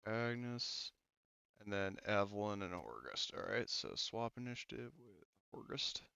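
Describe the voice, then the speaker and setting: A man's voice: lowish voice, big pitch swings, a little slow, creaky, pushed and bright, clean tone, no echo. A man casually refereeing a tabletop roleplaying game as game master. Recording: slightly dull, lo-fi voice-chat audio.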